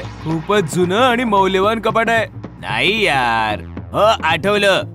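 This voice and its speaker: A teasing young college pal in an animated story, voiced by a male narrator. He argues casually in a high, swinging voice over clear music. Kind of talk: storytelling character dialogue. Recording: music under the voice.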